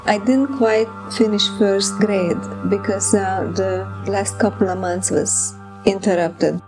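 Interviewee, woman in her eighties, medium pitch, measured and reflective delivery. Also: steady tone, over music, low-quality recording. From Czechoslovakia. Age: 80s. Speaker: interviewee, Holocaust survivor. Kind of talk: recounting memories in an interview.

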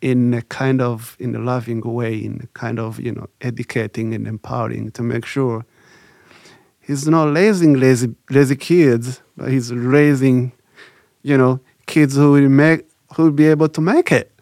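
Man, interview guest; gravelly voice, dry close sound, hesitant and thoughtful delivery. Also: medium pitch, steady tone, darkish tone, no echo. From Rwanda. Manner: halting, reflective, many "you know" fillers. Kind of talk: recalled life story, casual.

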